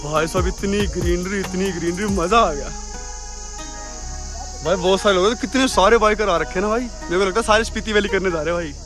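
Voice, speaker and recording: A young man, chatty and excited, narrating a travel vlog while driving mountain roads. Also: high-pitched, fairly quick. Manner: excited, chatty, calls viewers brother. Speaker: travel vlogger. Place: inside a car on mountain roads. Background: background music.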